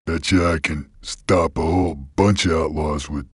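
Gruff game bounty hunter creature: rock-bottom pitch, husky gravel, Western American cowboy drawl, terse and folksy, close dry sound. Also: male voice, fairly even intonation, a little slow, dry recording, exaggerated voice. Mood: gruff, musing. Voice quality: gravelly, raspy. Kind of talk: video game voice acting.

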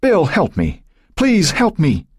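A man's voice: deep voice, sing-song, moderate pace, breathy edge, no room sound, clean studio sound, full character voice. A man voicing a tormented, panicked woman who protests and pleads desperately in an audiobook. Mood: terrified. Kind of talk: audiobook character voice.

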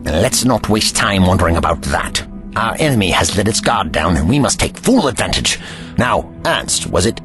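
A man's voice: sits low, sing-song, normal speed, over music. A man playing an eccentric old time-travelling Doctor, musing and patronising with old-fashioned courtesy, in an audio drama. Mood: brisk musing.

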